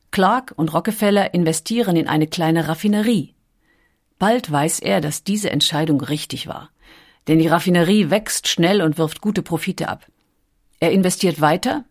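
Lowish-voiced woman narrating a documentary. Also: darkish tone, husky. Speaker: audiobook narrator.

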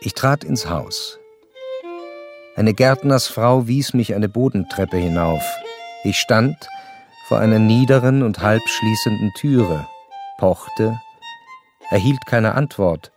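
An actor playing an educated, curious gentleman who narrates a literary radio play in a measured, precise manner. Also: on the low side, over music. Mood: observant, measured.

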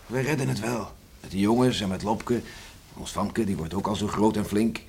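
A man's voice: fairly low pitch, moderate pace, darkish tone, lightly acted. A man playing a cheerful, coaxing island farmer and father in a radio drama, folksy and reassuring. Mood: soft, reassuring. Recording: noisy old radio play recording.

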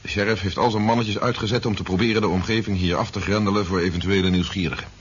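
An actor playing a brisk, fatherly army general in a Dutch science fiction radio drama, authoritative and measured. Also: low voice, steady tone, slightly rough. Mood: calm, reassuring. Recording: dull, noisy 1970s radio recording.

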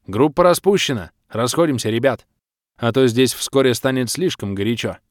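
A man's voice: medium pitch, big pitch swings, normal speed, no room sound, clean studio sound, close to an everyday voice. A man voicing a seasoned adventurer who advises companions emphatically in a fantasy audiobook. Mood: loud, brisk.

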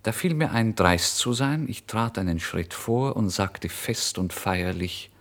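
A man's voice: fairly low pitch, animated, unhurried. An actor playing a starving, impulsive male writer in a theatrical, changeable first-person literary monologue for radio. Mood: furtive, brazen. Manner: theatrical, swinging from exclamations to hushed whispers. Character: starving, impulsive male writer recounting his misery and brazen lies.

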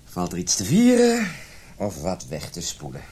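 An actor playing a condescending, coaxing husband and family doctor in a naturalistic radio drama. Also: male voice, deep voice, huge pitch swings, a little slow, warm tone, breathy edge, full character voice. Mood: patronising. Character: patronising, smooth husband, a family doctor with literary ambitions.

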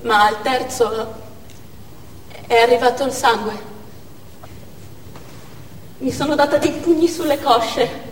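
An actress playing a grieving young Chechen war widow in a stage monologue, speaking in stark, halting short phrases. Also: female voice, on the high side, distant mic. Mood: numb grief. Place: theatre stage, distant microphone. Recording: echoey theatre hall from afar, noisy.

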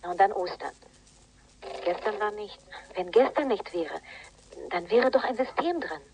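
An actress playing an earnest, diligent police colleague in a German radio drama, explaining things carefully. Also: female voice, mid-range voice, lively intonation, measured pace, a little mellow, a little hiss or hum, close to an everyday voice, poor audio.

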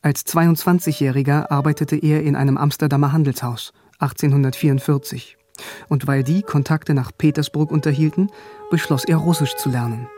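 An actor as a bookish young soldier, lightish voice. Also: male voice, fairly high pitch, natural voice. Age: young adult. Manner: wry and reflective, nervous before officers. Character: bookish, quietly stubborn young conscript soldier telling his story. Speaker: narrator and lead character. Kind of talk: first-person radio drama narration and dialogue. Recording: music under the voice. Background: music.

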